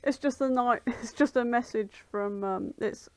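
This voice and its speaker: A woman's voice: on the high side, measured pace, a little mellow, dry recording. A British woman co-hosting a podcast, chatting casually and informally about fan fiction, with some swearing. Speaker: podcast co-host.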